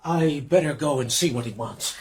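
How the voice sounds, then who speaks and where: An actor playing an evasive, offhand American husband in a radio play ghost story, with a husky voice and brightly reassuring manner. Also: male voice, on the high side, moderate pace. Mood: casual evasion. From New York.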